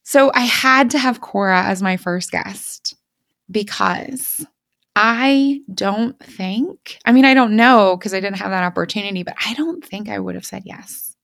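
Woman, podcast host, creaky voice, dry close sound, effusive and emphatic, chatty interview style. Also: mid-range voice, unhurried, fairly bright tone, no room sound. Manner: gushing, emphatic, informal. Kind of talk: enthusiastic conversational interview.

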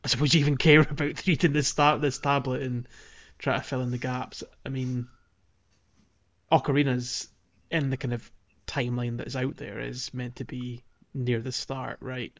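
A man's voice: mid-range voice, flat delivery, moderate pace, highs cut off. A man co-hosting a podcast, casually discussing video games in conversation with others. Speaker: podcast co-host.

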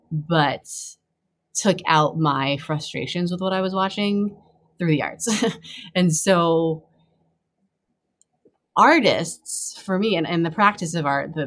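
A young woman with a notably low voice talks through her background in a casual interview. She speaks thoughtfully, pausing and restarting her sentences as she searches for words. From Taiwan, raised on US East Coast. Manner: thoughtful, halting, with many restarts and fillers. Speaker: campaign treasurer and fundraising director.

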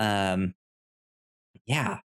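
A man's voice: sits low, drawn-out words, a bit bright, clean tone. A man co-hosting a casual movie podcast, often hesitant and thinking aloud as he goes. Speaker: podcast co-host.

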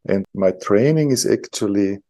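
A man's voice: fairly low pitch, moderate pace, dark tone, no echo. A middle-aged man from Europe with a non-native English accent, introducing himself in a casual, self-deprecating, wry way as a guest surgeon on a podcast.

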